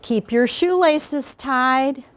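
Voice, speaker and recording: A woman's voice: sits high, drawn-out words, pitched above the performer's own voice. A woman voicing an earnest male police officer who announces safety tips in an instructive, declarative way for a children's storybook.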